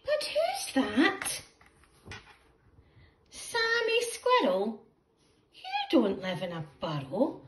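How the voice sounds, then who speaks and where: A woman's voice: a little high, huge pitch swings, extremely slow, long pauses, reverberant, heavily acted. A woman voicing surprised storybook animals who scold intruders in their beds, with exaggerated sing-song questioning.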